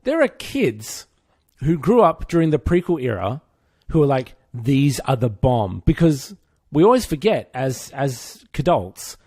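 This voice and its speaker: Gravelly-edged man in casual podcast chat. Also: medium pitch, very animated, a little slow, darkish tone, dry recording. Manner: informal, opinionated, self-mocking geek. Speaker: podcast co-host. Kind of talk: casual pop-culture chat.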